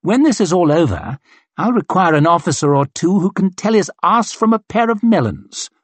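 A man's voice: sits high, very animated, moderate pace, slightly rough, no echo, pitched above the performer's own voice, exaggerated voice. A man voicing an arrogant, mocking cavalry colonel with a sneering, theatrical delivery.

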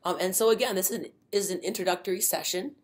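A middle-aged woman presenting an instructional webinar from a desk webcam, explaining step by step with frequent ums and uhs. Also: mid-range voice. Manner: many fillers, unhurried explaining.

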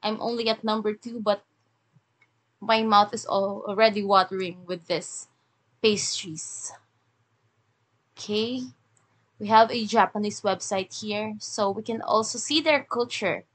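A woman's voice: medium pitch, fairly even intonation, a little slow, long pauses. Woman, casual web design reviewer, voice-over on a screen recording, friendly ad-libs. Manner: chatty, reads script then ad-libs with fillers. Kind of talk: screen-share website review.

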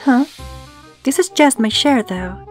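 A woman's voice: high voice, animated, slow, faint music behind. A woman voicing a haughty, greedy young fiend in a comic dub, with a boastful, childish and imperious manner. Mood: smug. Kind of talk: fan comic dub, exaggerated anime acting.

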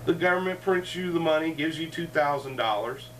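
A man's voice: on the high side, a little fast, fairly bright tone. A middle-aged man hosts a loose, meandering chat at home, joking and drifting between asides. He sounds somewhat distant from a camera mic across the room. A faint wash of room noise sits underneath. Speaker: show host. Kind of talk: rambling casual chat. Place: cluttered living room, indoors. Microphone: fixed camera across the room.

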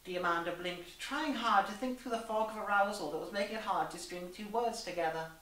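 Young woman doing a dramatic story reading, bright sharp voice, kinda echoey and far from the camera mic. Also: mid-range voice. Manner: theatrical, deliberate, with emphatic character dialogue. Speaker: reader and host in costume. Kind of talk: dramatised reading of fan fiction. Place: small plain room indoors. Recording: roomy echo, distant camera microphone.

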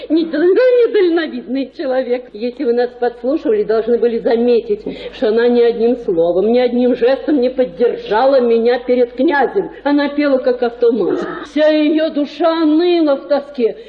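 High-pitched, cajoling society mother. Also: lively intonation, moderate pace, hardly any pauses, dull audio. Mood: pleading, reproachful. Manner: cajoling, effusive, sprinkled with French endearments. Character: scheming provincial society mother, smooth-tongued and theatrical. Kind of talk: Russian radio play acting.

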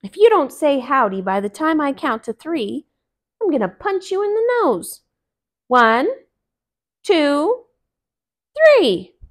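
A high, swooping, cocky rabbit from a children's storybook, acted out by a woman. He is loud and hot-tempered, full of theatrical bluster and sly tricks. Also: very animated, takes it slowly, long pauses, heavily acted. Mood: indignant.

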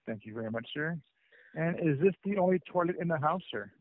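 A man's voice: fairly high pitch, lively intonation, quick pace, no echo. A man working as a phone agent, speaking politely and formally in scripted customer service style. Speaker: plumbing company phone agent. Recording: tinny lo-fi phone call.

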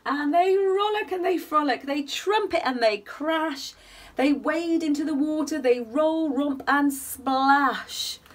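High-voiced woman reading a bedtime story. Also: slow, far from the mic, a little hiss or hum. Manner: animated, singsong, asks the listener questions. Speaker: children's bedtime story reader. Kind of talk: expressive picture-book storytelling for young children. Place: small attic room at home, indoors. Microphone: camera a short distance away.

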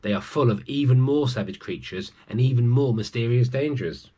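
A man's voice: mid-range voice, moderate pace, mellow, slightly rough, natural voice. A man voicing an anxious storybook hedgehog with a fretful, gasping manner. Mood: alarmed.

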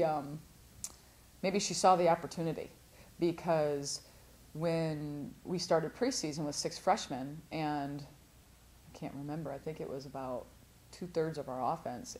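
Low-voiced woman coach, halting. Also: darkish tone. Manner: earnest, halting, many restarts and fillers. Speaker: head volleyball coach. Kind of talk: postmatch interview. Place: studio before a sponsor backdrop.

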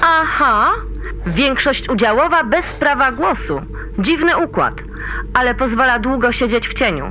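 Brisk female handler for audio drama, colloquial command, sharp bright tone, faint ambient noise. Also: sits high, sing-song, exaggerated voice. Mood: reassuring, wry. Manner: colloquial, authoritative. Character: brisk, wry female mission controller. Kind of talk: sci-fi audio drama acting.

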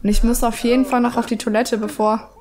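A woman's voice: on the high side, little pitch movement, fast, darkish tone. A woman with a cold chatting casually through her gameplay, rambling with many fillers at a desk microphone. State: has a cold. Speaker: let's play host.

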